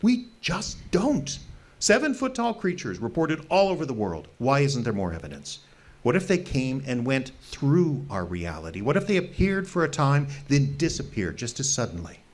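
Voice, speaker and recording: An actor playing a fanatical, earnest fringe researcher who lives wild in the woods, stammering and pleading in an audio drama. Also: male voice, medium pitch, sing-song, moderate pace. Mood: earnest, insistent.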